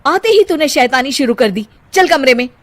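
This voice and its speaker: A woman voicing a cautious young married elder sister who talks in a gentle, scolding way in a cartoon story. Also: sits high, expressive, moderate pace, noisy background, pitched above the performer's own voice.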